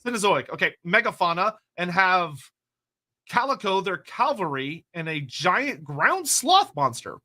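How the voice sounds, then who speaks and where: A young adult man co-hosting a casual live stream, reading viewer questions aloud and rambling excitably about game lore, with casual swearing. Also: high-pitched, measured pace.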